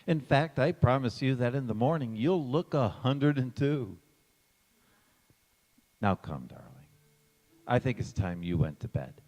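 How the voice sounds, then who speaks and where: A man acting in a stage radio play as a fond, gently teasing husband, indulgent and theatrical in his delivery. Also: medium pitch, expressive, measured pace, pauses often. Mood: reassuring, teasing. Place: large reverberant church or hall. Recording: echoey hall.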